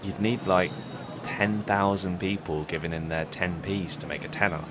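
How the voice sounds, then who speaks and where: A man playing a hapless, literal-minded British protester in a naturalistic audio comedy, easily swayed, with a puzzled, deadpan, questioning manner. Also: deep voice, normal speed, background noise, close to an everyday voice. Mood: mildly puzzled.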